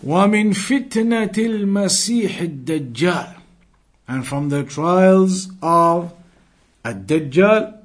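Man, religious teacher, earnest delivery, fluent arabic recitation, quizzes students. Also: on the high side. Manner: quizzes the class and waits for answers. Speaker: Islamic teacher. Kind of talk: religious lesson to a live class.

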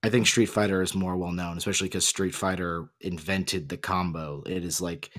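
A man's voice: sits low, steady tone, moderate pace, fairly bright tone. A man co-hosting a casual discussion, explaining his opinions emphatically. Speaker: podcast co-host.

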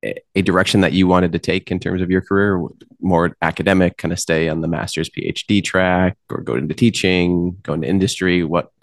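A man's voice: deep voice, no room sound. A man hosting a podcast who asks long, rambling, stacked questions. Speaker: podcast host. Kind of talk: podcast interview.

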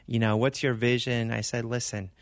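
A man raised in Argentina, a pastor, talking casually as a radio interview guest in short phrases with pauses between them. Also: medium pitch, little pitch movement, no echo, clean studio sound. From born in California, raised in Argentina. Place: radio studio. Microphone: close studio microphone.

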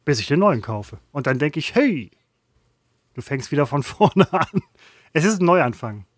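A man co-hosting a comedic chat, musing wryly and dropping deadpan quips. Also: a little high, measured pace, no echo, clean studio sound. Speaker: podcast co-host.

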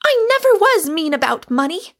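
Lady doing a angry young rich girl from an audiobook, really high, scornful and emphatic. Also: very animated, extremely slow, fairly bright tone, heavily acted. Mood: indignant rage. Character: indignant, forceful young English heiress in a rage. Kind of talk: acted audiobook dialogue.

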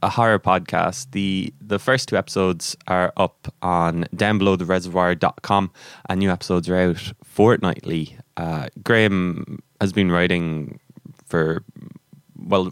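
A man's voice: low-pitched, clear, resonant tone, no room sound, clean studio sound. An Irish man hosting a podcast in a casual, rambling way, hesitating and correcting himself as he goes. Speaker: podcast host. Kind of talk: casual interview podcast chat.

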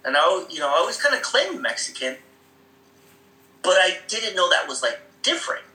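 A man with a fairly high, very bright voice tells stories in a loose, rambling way, full of fillers and quick laughs. He sounds like he is in an echoey room. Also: sing-song. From Seattle area. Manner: rambling, many fillers, laughs often, acts out quoted voices. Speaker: guest. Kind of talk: casual conversational storytelling.

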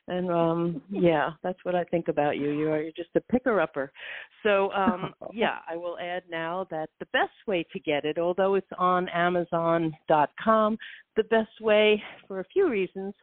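A woman's voice: lowish voice, no echo. Chatty woman on a tinny phone line. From New York. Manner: chatty, laughing, many fillers and restarts. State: slightly croaky from allergies. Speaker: guest author. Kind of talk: casual interview chat.

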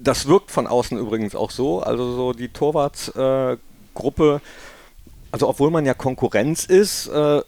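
A man's voice: mid-range voice, normal speed, darkish tone, no echo. A man hosting a podcast, asking a guest casual, curious questions with a few fillers. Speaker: podcast host.

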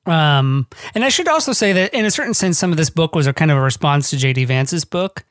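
An American author speaks in a reflective interview style, at a middle pitch. The delivery is halting and discursive, as thoughts get worked out aloud. The sound is dry and close, with very little room in it. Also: lively intonation, no room sound. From northwest Ohio, United States. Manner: halting, discursive, thinking aloud with frequent hedging. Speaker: guest author of a memoir. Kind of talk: reflective podcast interview talk.

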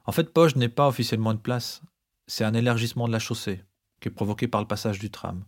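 A man's voice: fairly low pitch, fast talker. A man co-hosting a podcast with scripted, explanatory narration.

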